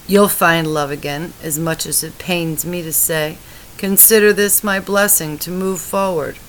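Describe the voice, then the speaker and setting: A woman voicing a weak, dying husband who speaks softly and tenderly, his voice fading. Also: on the low side, steady tone, unhurried, a little hiss or hum, low-quality recording. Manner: soft, faltering, fading. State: weak and tired.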